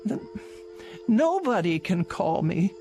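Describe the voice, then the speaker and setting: A woman's voice: mid-range voice, sing-song, slow pace, warm tone, faint music behind. A woman playing an elderly, solitary invalid in a radio drama, agitated, insistent and pleading. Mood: anxious.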